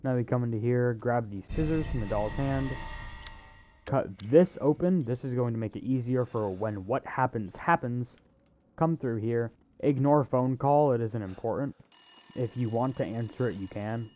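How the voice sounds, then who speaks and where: A man's voice: mid-range voice, normal speed, mellow, slight background noise. A man giving a casual video game walkthrough in an offhand way, with wry asides. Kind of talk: casual gameplay walkthrough commentary.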